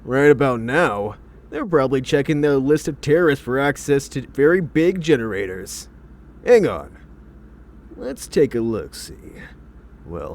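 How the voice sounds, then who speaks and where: Man as a breezy, animated young hacker sidekick. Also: a little high. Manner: breezy, slangy. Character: laid-back young male computer hacker sidekick. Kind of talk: comic dub dialogue.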